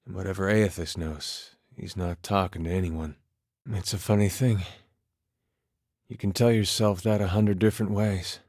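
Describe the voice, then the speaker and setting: A man's voice: deep voice, steady tone, moderate pace, a little mellow, dry recording, clean studio sound. A man voicing a laid-back, wry farmer turned soldier in a video game, with a plainspoken, understated folksy manner. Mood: resigned, wistful. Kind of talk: performed game dialogue.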